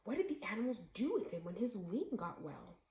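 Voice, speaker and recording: A woman's voice: mid-range voice, sing-song, a little fast, mellow, echoey room. A woman voicing an eager, curious young boy in a storybook, full of wide-eyed questions. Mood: eager, delighted.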